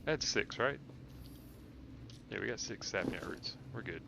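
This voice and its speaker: A man's voice: on the low side, animated, measured pace, vocal fry, background noise. A man giving casual gameplay commentary as a streamer, thinking aloud in an informal, slangy way. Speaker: game streamer.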